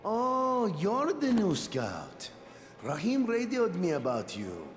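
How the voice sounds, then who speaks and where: Game casting: cynical quartermaster, man, highish voice, sardonic, reverberant room, faint music. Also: huge pitch swings, takes it slowly, full character voice. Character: blunt, cynical male survivor quartermaster. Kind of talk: video game voice acting. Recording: echoey hall, long reverb.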